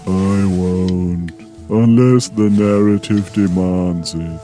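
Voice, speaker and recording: Man playing a literal-minded helper, very slow, mellow and dark, deadpan, soft music behind. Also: low-pitched. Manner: earnest, takes things literally. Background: background music.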